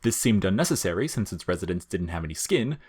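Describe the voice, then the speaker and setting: Male narrator for humorous audio fiction, deep register, deadpan and measured delivery. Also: husky. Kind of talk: scripted comic fiction narration.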